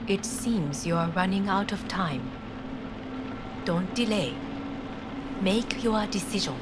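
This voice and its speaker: An actress playing a composed, calculating corporate heiress in a video game, with formal, measured, commanding speech. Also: female voice, medium pitch, animated, very noisy. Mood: insistent, cool. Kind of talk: video game dialogue acting. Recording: music behind the voice.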